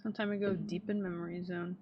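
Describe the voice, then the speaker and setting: A woman reacting to a video game in casual, slangy chat, playful and quick to exclaim. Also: lowish voice, flat delivery, muffled recording, background noise. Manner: slangy, playful, exclamatory, sing-song asides.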